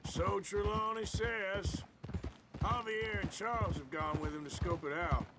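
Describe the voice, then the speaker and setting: An actor playing a gruff, laconic American outlaw cowboy with a Western drawl in a video game. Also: male voice, high voice, unhurried, heavy background noise. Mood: matter-of-fact. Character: gruff, grudge-holding male outlaw cowboy. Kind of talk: cinematic western game acting.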